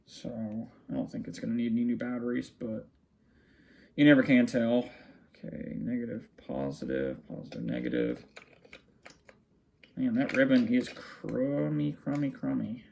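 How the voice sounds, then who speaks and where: A man with a gravelly, creaky voice giving casual unscripted commentary, muttering to himself while he works with his hands. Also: medium pitch, moderate pace, mellow, slight background noise. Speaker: hobbyist vlogger showing a radio collection. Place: small indoor room at a cluttered desk.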